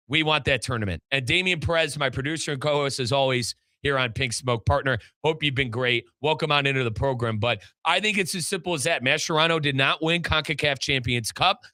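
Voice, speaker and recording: A man's voice: on the high side, sharp tone, dry recording. A man hosting a soccer talk show, giving emphatic, opinionated analysis. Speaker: sports talk show host.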